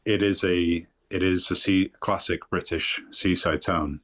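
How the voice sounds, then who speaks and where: A man with a North Welsh accent, a guest talking in a thoughtful, unhurried way in a casual podcast chat. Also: low-pitched, fairly even intonation, a bit bright. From Wales.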